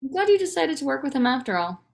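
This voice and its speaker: A woman's voice: high-pitched, big pitch swings, fast talker, vocal fry, exaggerated voice. Woman reading as a frank, no-nonsense mom. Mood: matter-of-fact. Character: frank, no-nonsense wife and mother. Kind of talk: conversational table read of a comedy script.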